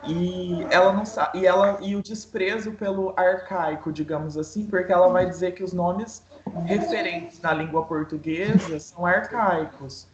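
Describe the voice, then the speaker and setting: A woman's voice: fairly low pitch, steady tone, moderate pace, highs cut off. Woman, book club talk, analytical, restarts often, roomy echo, background noise. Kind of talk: casual literary discussion. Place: home, online video call. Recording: echoey room with noise.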